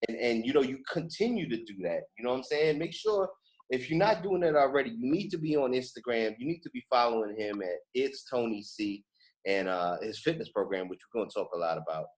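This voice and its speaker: A man's voice: medium pitch, expressive, brisk. A man from New York in his thirties hosting a podcast, chatting casually and effusively in slangy speech. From New York, United States. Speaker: podcast host. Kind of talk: casual interview chat.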